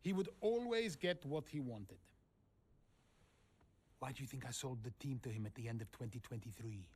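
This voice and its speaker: A man playing a reflective figure with a husky voice in a game cutscene. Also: medium pitch, lively intonation, moderate pace, a little mellow. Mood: pointed. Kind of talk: cinematic game cutscene acting.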